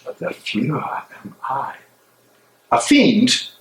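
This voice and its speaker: A man in a dramatic stage reading playing a tormented, guilt-ridden German theologian, speaking haltingly and theatrically. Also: medium pitch, huge pitch swings, fairly bright tone, exaggerated voice. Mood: anguished self-reproach.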